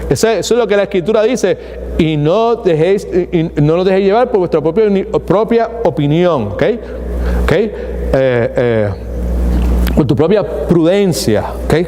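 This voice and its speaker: A man preaching a sermon as pastor, animated and slipping into English words. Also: high voice, a little slow. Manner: animated, acts out quoted voices, mixes English words. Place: large church hall. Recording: echoey church hall with background noise.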